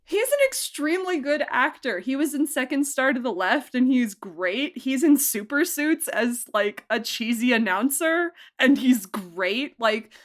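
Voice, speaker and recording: A woman's voice: high-pitched, animated, measured pace, few pauses. A woman speaking casually as a producer in an interview, effusive and emphatic, with a joking way of talking. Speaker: audio drama producer and director being interviewed. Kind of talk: casual behind-the-scenes interview.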